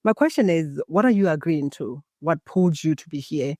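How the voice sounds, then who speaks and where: Calm guiding woman from Botswana, Setswana native. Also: sits low, moderate pace, no room sound. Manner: calm, guiding, speaks as if leading a meditation. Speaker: guest, embodiment coach. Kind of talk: podcast interview, reflective storytelling.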